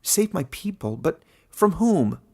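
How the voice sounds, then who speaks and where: An embittered but earnest young exile from a storybook village, acted by a man. He protests in bewilderment. His voice has a creaky grain. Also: high-pitched, sing-song, slow, voice pushed up, heavily acted. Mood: bewildered. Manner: stammering at times, protesting.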